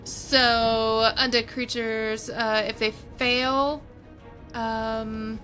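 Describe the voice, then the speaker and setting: A woman's voice: a little high, level tone, extremely slow, sharp tone, soft background music. A woman playing a tabletop roleplaying game, thinking aloud and casually narrating what her characters do.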